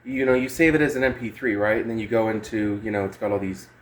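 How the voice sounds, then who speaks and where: A man's voice: lowish voice, steady tone, quick pace. A man giving a casual, unscripted music gear demo in a rambling, hesitant, sweary way. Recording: clear background noise.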